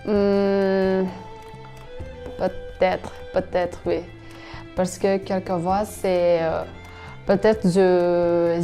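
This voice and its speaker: Slow, mellow-voiced woman. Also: on the low side. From Indonesia. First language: Indonesian. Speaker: interviewee. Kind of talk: hesitant non-native French, restarting words.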